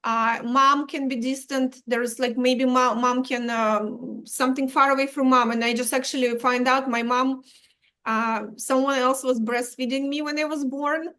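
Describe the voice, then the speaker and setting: A woman from Ukraine, explaining astrology as an interview guest, halting, with many restarts and dropped articles. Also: high voice, steady tone, fairly bright tone.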